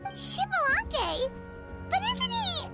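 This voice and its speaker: A tiny, excitable game companion creature, squeaky and extremely high. The childlike voice is inquisitive and swings widely in pitch, over loud music. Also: female voice, slow, exaggerated voice. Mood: astonished, questioning. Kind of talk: video game voice acting.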